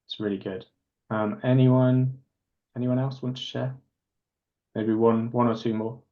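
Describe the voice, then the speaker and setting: A man's voice: medium pitch, little pitch movement, unhurried, muffled recording. A man hosting a forum discussion, thanking contributors briefly and inviting more to share. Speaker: host. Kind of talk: moderating a forum.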